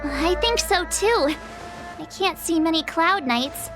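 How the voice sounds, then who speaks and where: A woman's voice: very high-pitched, animated, takes it slowly, music in the background, exaggerated voice. A woman voicing an earnest, anxious, kindhearted young adventurer girl in an English video game dub. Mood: uneasy.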